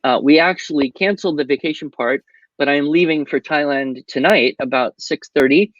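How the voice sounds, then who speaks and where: A man's voice: fairly high pitch, brisk, dry recording, highs cut off. A man talking over a video call as a guest speaker, chatty and wry, with a habit of telling jokes. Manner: wry joke teller, chatty and digressive. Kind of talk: casual interview chat.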